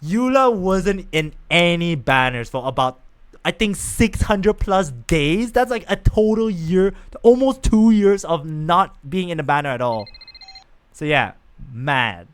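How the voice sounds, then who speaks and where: A man's voice: sits high, animated, a little slow, smooth. A man streaming a game, chatting casually and rambling to his viewers. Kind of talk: casual gameplay chat.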